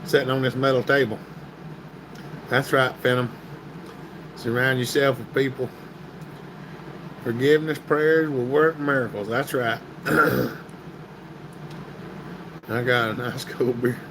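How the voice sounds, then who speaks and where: Male streamer, folksy, greets chat by name, voice going hoarse, gravelly edge, light background hiss. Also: mid-range voice, fairly even intonation, takes it slowly, long pauses. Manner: folksy, answering viewer comments by name. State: getting hoarse and stuffy. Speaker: livestream host reading chat. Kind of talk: casual live-stream chat. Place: indoor workshop room, sitting at a metal table.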